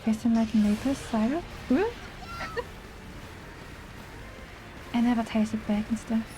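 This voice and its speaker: A woman's voice: fairly high pitch, slow pace, dark tone, slight background noise, rough recording. A woman from Germany with a German accent chats casually as a game streamer, in an informal, giggly and enthusiastic way. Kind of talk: casual chatty livestream commentary. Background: music.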